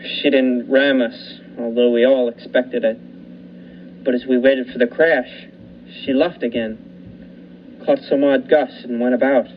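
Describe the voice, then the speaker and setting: A man playing a lighthouse keeper who narrates the story in a student radio play, measured and suspenseful. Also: mid-range voice, steady tone, lightly acted. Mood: tense. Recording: muffled with faint hiss.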